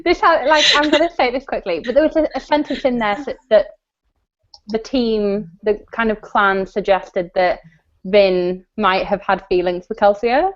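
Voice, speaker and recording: A woman's voice: mid-range voice, moderate pace. A woman hosting a casual book chat, talking in a conversational, hesitant way with plenty of filler phrases. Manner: hesitant, conversational, many "like" and "kind of". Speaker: live show host discussing books. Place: video call live stream. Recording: dull lo-fi online call audio.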